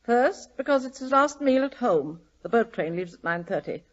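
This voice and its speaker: An actress playing a brisk, forthright, imperious married lady in an old radio drama, blunt and commanding. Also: fairly high pitch, animated, natural voice. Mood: insistent. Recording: dull lo-fi old radio recording.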